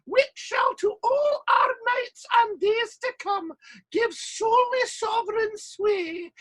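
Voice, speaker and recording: A man playing a blustering, exasperated playwright running a theatre in an audio play, forceful and declamatory. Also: very high-pitched, takes it slowly, exaggerated voice, rough recording. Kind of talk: stage-style audio drama acting. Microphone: video call recording.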